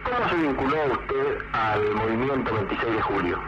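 A man's voice: medium pitch, animated, normal speed, muffled recording. A man working as a radio journalist, putting formal, direct questions to a guest in an archival interview. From Argentina. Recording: distant, noisy old radio recording.

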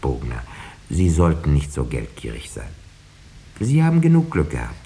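A man's voice: a bass voice, huge pitch swings, normal speed, dark tone, slight background noise, full character voice. A man playing a wry, sceptical village schoolteacher who tells the tale in a 1950s radio play, reflective and dryly ironic. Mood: sceptical, admonishing.

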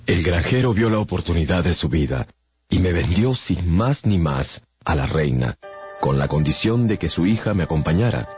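An earnest traveller narrates his own adventures in a radio play. The voice is very low and expressive, telling it with suspense over loud music in a dulled recording. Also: normal speed. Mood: reflective. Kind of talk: dramatic first-person radio narration. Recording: dull radio drama, loud music behind.

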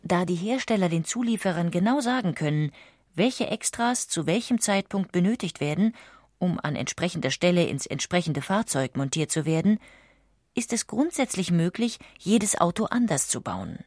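A woman reading scripted documentary narration with measured, precise enunciation. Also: on the low side, animated, darkish tone, no echo, studio quality.

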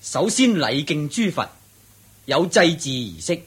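A man with a Hong Kong Cantonese accent playing a wealthy, pious, courteous householder in a radio drama, in a husky voice. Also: a little high, very animated, heavily acted. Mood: courteous, humble. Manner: courteous, measured.

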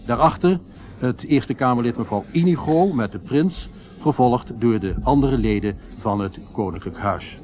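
An actor playing a formal radio reporter commentating live on a state ceremony. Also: male voice, mid-range voice, lively intonation, normal speed, a little mellow, natural voice. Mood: solemn, polished. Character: male radio reporter covering a royal ceremony, formal, later shaken.

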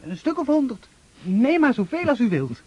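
A man acting an eager young army officer in a radio drama, stammering with breathless excitement. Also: very high voice, moderate pace, husky, lo-fi sound, full character voice. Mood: pleading, eager. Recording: noisy old radio play recording.

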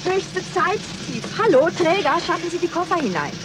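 An actress playing a pert, playful travelling companion, cheeky and exuberant, in a 1960s German crime radio drama. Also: female voice, extremely high pitch, very animated, normal speed, background noise. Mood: bossy.

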